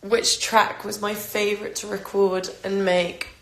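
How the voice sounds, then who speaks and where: Slow gravelly-voiced woman chatting. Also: mid-range voice, reverberant, low-quality recording. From London. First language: Albanian. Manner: relaxed, rambling, many fillers and restarts. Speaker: pop singer answering fan questions. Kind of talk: casual livestream chat. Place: home during quarantine. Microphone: phone.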